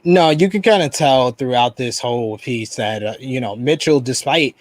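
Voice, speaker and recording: A man's voice: medium pitch, moderate pace, dry recording. A man with a New York accent co-hosting a casual review podcast, talking things through in a loose, rambling way with lots of fillers. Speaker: podcast co-host.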